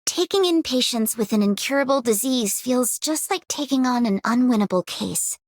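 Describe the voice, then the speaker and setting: A woman's voice: a little high, lively intonation, normal speed, no echo. A woman voicing a confident, pragmatic professional in a video game, articulate and assured. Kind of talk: video game character voice lines.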